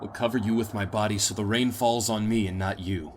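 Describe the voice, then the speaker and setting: A man playing a grave, tender, protective father in a post-apocalyptic audio drama, speaking deliberately and wearily. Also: on the low side, steady tone, slight background noise, close to an everyday voice. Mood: urgent, commanding. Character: weary, protective father in a ruined post-war world.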